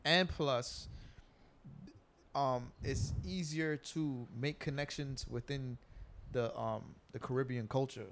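A man's voice: mid-range voice, slow pace, noisy background. A young man in casual group talk, explaining at length with many fillers and restarts. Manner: animated, lots of filler words and restarts.

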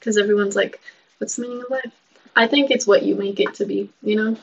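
Breathy, chatty teenage girl, lo-fi. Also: mid-range voice, steady tone, a little fast. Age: teens. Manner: chatty, heavy use of "like", trails off softly. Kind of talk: casual chat. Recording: dull lo-fi sound.

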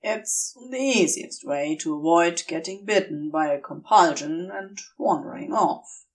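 A woman voicing a begrudging male demon with a secretly tender streak, gruff and brusque, in a story reading. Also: on the low side, unhurried, distant mic, voice pushed down, rough recording. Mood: gently firm.

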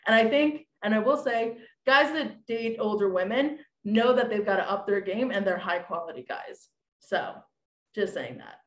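A woman's voice: mid-range voice, moderate pace. Chatty young woman in an echoey room. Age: 20s. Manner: animated, acts out quoted dialogue, many fillers. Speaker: podcast guest. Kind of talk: casual storytelling chat.

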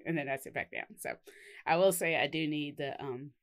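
A woman's voice: low-pitched, fairly quick. A woman co-hosting a podcast from home in casual chat, rambling and laughing often. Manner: giggly, rambling. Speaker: podcast co-host. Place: home in Texas.

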